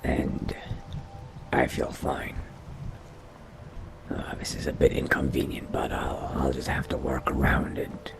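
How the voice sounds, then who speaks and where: A man voicing a pensive, solitary vampire adventurer in a game roleplay, musing to himself in a breathy, gravelly half-whisper. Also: a bass voice, monotone, takes it slowly, music in the background, full character voice. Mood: musing, subdued. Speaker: player role-playing in a video game. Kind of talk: in-character game roleplay monologue.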